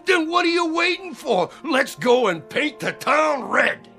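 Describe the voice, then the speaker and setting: Brash high-voiced boxing cornerman. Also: very animated, a little slow, pushed and bright, faint music behind, heavily acted. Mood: breezy, proud. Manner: slangy, streetwise, punchy. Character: brash, upbeat, loyal boxer's cornerman pal. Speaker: actor in a radio play. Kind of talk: modern radio drama acting.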